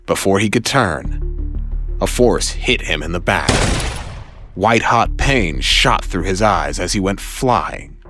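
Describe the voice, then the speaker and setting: A man's voice: deep voice, animated, takes it slowly, music in the background. A man delivering dramatic scripted narration as the narrator of an audio story.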